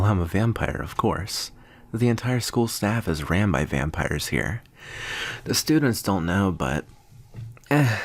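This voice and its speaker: Deep, husky vampire teacher played by a man. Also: no room sound, heavily acted. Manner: condescending, teasing, unhurried with deliberate pauses. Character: smug, amused male vampire teacher lecturing a student. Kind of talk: ASMR roleplay monologue.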